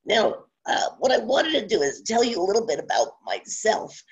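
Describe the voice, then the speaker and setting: A woman presenting a webinar, her voice hoarse and strained from a cold, speaking haltingly with frequent fillers. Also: medium pitch, big pitch swings. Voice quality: strained raspy voice. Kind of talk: webinar talk. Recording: dull lo-fi audio.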